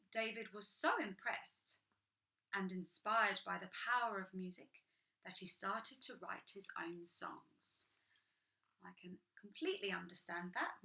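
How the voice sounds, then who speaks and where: A woman reading a picture book aloud to children, sing-song and animated, dropping into whispers for little asides. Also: mid-range voice. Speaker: children's storyteller.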